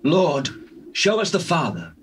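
An actor playing an earnest disciple appealing to his master in a scripture drama, with a husky voice. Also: a little high, huge pitch swings, a little slow, a bit bright, a little hiss or hum, full character voice. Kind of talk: dramatized scripture reading in archaic English.

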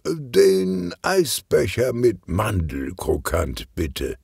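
A man voicing a calm, good-natured, portly police detective who talks in an unhurried, murmuring way for a children's audiobook. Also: medium pitch, vocal fry, slightly rough, dry recording, clean studio sound, voice pushed up, heavily acted. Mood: hesitant, indulgent.

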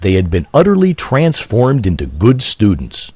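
Husky, very animated male storyteller. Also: fairly low pitch, moderate pace, no echo. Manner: grandiose, literary, dramatic. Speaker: narrator. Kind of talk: theatrical scripted story narration.

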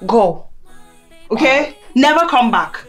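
A woman's voice: fairly high pitch, very animated, slow, faint music behind. A woman playing a scornful young girlfriend. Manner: scornful, cutting.

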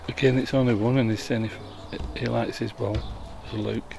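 A man with a gravelly, creaky voice talks through a bowls match as it unfolds, chatty and explanatory. He is recorded outdoors on a camera mic, with clear background noise and birds around him. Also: mid-range voice, fairly even intonation, normal speed. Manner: chatty, explaining the play as it happens. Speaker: commentator filming the match. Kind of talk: casual amateur sports commentary. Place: outdoors at a bowling green.

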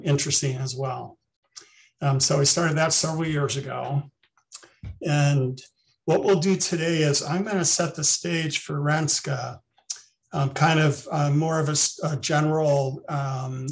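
An older man, a retired biology professor, giving an online talk over a video call, halting with many ums and restarts. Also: medium pitch, creaky.

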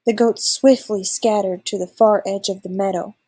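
A woman's voice: medium pitch, fairly even intonation, normal speed, warm tone, breathy edge. A woman in her thirties reading a children's storybook aloud expressively, like a teacher.

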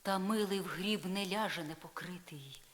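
An actress playing a proud, jealous, sorrowful spurned wife in a solemn verse drama, shifting from breathy hush to declamation. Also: female voice, fairly low pitch, normal speed, close to an everyday voice. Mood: proud, mournful. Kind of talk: dramatic poetry reading, slow and solemn. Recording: vinyl record rip.

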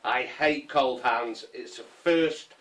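A man in his fifties, casually showing his camping gear in a small conservatory, rambling and unhurried with many ums and restarts. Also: mid-range voice, a bit bright, distant mic. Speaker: survival gear vlogger. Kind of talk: casual show-and-tell. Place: small conservatory full of kit. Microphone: camera mic from a few feet away.

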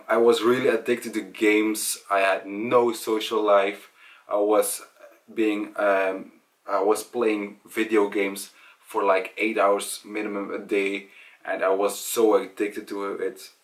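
A young man talking casually to camera about himself, in a hesitant, halting way. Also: fairly low pitch. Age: young adult. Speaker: vlogger. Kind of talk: casual personal storytelling to camera. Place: small indoor room at home. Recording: voice distant from the mic.

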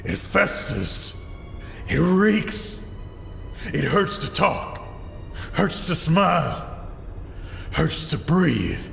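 An actor playing a scarred, tormented crime boss in an audio drama, his voice strained and gravelly, his manner menacing and bitter. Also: on the high side, sing-song, drawn-out words, long pauses, fairly bright tone, full character voice. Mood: bitter, pained. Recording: echoing hall under music.

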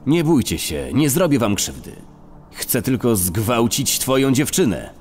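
A man playing a menacing middle-aged killer who gives slow, commanding orders in an audio drama. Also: mid-range voice, sing-song, darkish tone, a little hiss or hum.

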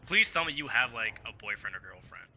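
A man chatting quickly and upbeat with teammates. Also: mid-range voice, lively intonation, a loud crowd. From Canada. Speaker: teammate in voice chat. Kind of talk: casual team chat. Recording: voice chat over busy game sounds.

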